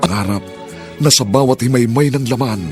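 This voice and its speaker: A man's voice: mid-range voice, brisk. Theatrical male announcer over prominent music. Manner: solemn, theatrical. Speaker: radio drama announcer. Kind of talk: grand, poetic scripted radio drama introduction.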